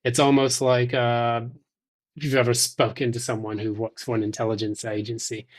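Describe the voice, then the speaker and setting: Man, medium pitch, tarot reader, addressing the listener directly, measured, halting, thoughtful pauses. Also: flat delivery.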